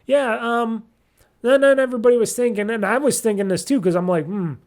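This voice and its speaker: A man's voice: very high-pitched, brisk. A man hosting a gaming podcast, giving casual, rambling solo news commentary in an informal, mildly profane way.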